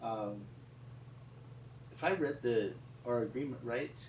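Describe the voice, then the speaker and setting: An American man telling stories in an unscripted, conversational way, with a rambling, halting delivery. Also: mid-range voice, a little mellow. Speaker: paleontologist and museum founder telling stories. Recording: echoey, distant mic with background noise.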